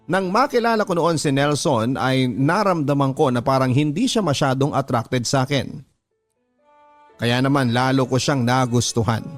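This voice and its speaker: A man with a Filipino accent reading a scripted first-person letter as a radio drama narrator. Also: mid-range voice. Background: background music.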